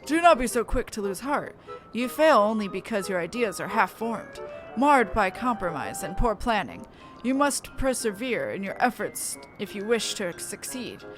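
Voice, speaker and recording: A woman voicing a steadfast, formal noble mentor in a fantasy game, speaking in a measured, exhorting way. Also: medium pitch, big pitch swings, music in the background, natural voice. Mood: encouraging.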